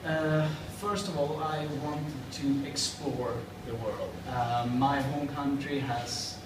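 A man's voice: medium pitch, slow pace. Swedish guy in his 30s, thinks as he talks, lots of ums, roomy hallway, noisy, far from the camera. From Sweden. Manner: thoughtful, hesitant with fillers and restarts. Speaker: interviewee. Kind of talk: casual interview answers. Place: school corridor with plastic chairs.

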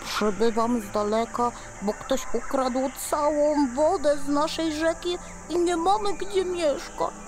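An actor doing a worried beaver. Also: male voice, extremely high pitch, a little slow, hardly any pauses, slight background noise. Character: male beaver, worried traveller.

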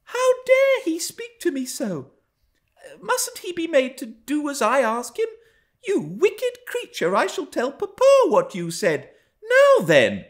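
Man voicing a spoiled little girl, very high-pitched. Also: huge pitch swings, unhurried, heavily acted. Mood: indignant. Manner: haughty, demanding, exclamatory. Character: spoiled, imperious, indignant young gentry girl.

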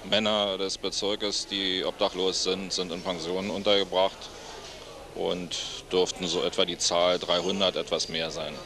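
A middle-aged man giving measured, factual interview answers in official wording, speaking into a handheld microphone. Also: deep voice, little pitch movement, crowd noise. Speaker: interview guest, head of a homeless hostel. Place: seated among plants in a senior citizens' centre.

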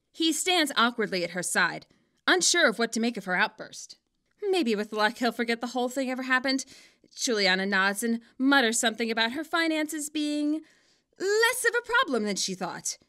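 Woman reading a fanfic story, highish bright voice, wry and dramatic, really animated. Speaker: author narrating own fan fiction. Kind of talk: expressive scripted story narration.

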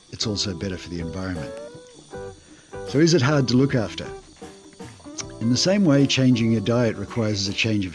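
A man who owns an eco lodge, narrating a scripted voice-over in a calm, measured, explanatory way. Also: lowish voice.

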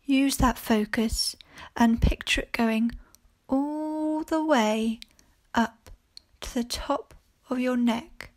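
A woman teacher leading a guided mindfulness body scan for children, speaking calmly and gently in short phrases with long pauses. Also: on the high side. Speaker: primary school teacher leading a guided meditation.

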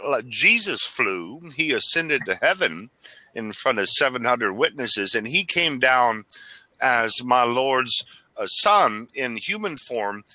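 A man's voice: mid-range voice, sing-song, slow, bright, forceful tone, dry recording, rough recording. A man in his forties from Arizona, calling into a video chat and talking fervently about faith, rambling and tripping over words. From Arizona, United States. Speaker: caller. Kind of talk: casual religious video chat. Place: small dim room indoors. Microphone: headset.

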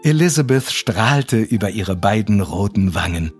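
Low, expressive male storyteller. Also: unhurried. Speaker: narrator. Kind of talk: scripted audio drama narration. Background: music with harp.

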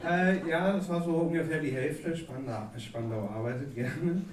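A man in his thirties, a native Turkish speaker, reading a comic poem with deadpan delivery on a theatre stage at a stand mic. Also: on the high side, moderate pace, crowd noise. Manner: deadpan satirical delivery, chatty asides with fillers. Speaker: poetry slam performer. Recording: echoey hall from a distance, audible noise. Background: audience laughter.

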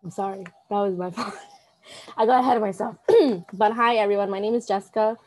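A woman's voice: mid-range voice, steady tone, brisk, darkish tone, no echo, rough recording. A young woman from Hawaii, a student chatting casually on a video call panel. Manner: chatty, self-correcting. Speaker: orientation leader.

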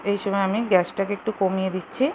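A woman's voice: mid-range voice, flat delivery, racing, darkish tone. A woman giving casual, step-by-step cooking instructions in simple words, as host of a home recipe video. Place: home kitchen. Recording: clearly noisy home kitchen recording.

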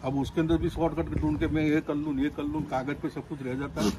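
A man's voice: fairly high pitch, level tone, fairly quick, crowd noise. A man from Rajasthan answering casually as a goat trader, repeating words and phrases for emphasis. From Rajasthan, India. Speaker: goat farm trader giving advice.

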